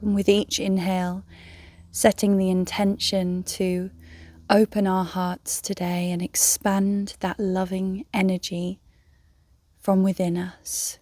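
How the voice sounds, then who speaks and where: Woman, meditation guide, gentle and soothing, breathy near-whisper, mellow tone, flat calm pitch, long pauses. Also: dry recording. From England. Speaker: meditation guide, yoga teacher.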